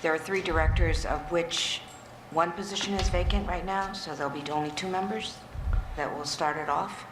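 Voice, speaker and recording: Low-voiced woman board member asking questions. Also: steady tone, moderate pace, hardly any pauses. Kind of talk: questioning at a formal meeting. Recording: echoey meeting room with clear background noise.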